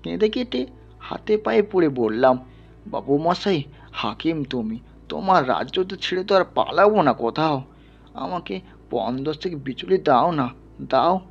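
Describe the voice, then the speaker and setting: A man's voice: fairly high pitch, expressive, unhurried, gravelly, breathy edge, lo-fi sound, faint music behind, exaggerated voice. A man voicing a poor, sickly peasant who pleads humbly and sorrowfully in an audio story. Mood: plaintive, pleading. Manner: pleading, plaintive, deferential.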